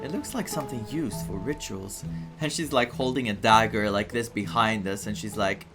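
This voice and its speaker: Streamer from Greece, casual spoken reactions, mid-pitched voice, game music clearly underneath. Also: normal speed. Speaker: streamer playing a story game.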